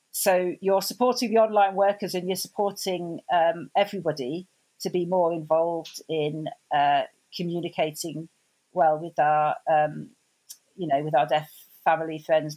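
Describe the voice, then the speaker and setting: A woman interviewing a guest, encouraging and affirming, with frequent warm praise. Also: deep voice, fairly even intonation, a little slow, poor audio. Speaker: interviewer, host. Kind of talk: supportive interview.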